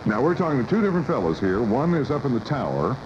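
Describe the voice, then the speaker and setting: A man's voice: mid-range voice, very animated, fast talker. An American man hosting a talk radio show in a casual, folksy, conversational way. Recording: muffled 1980s AM radio cassette, loud noise.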